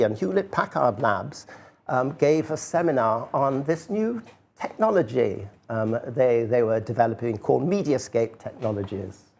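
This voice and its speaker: An older man giving an informal, unscripted talk as an artist, halting and rambling, with a self-deprecating manner. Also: medium pitch, gravelly, a little mellow, husky.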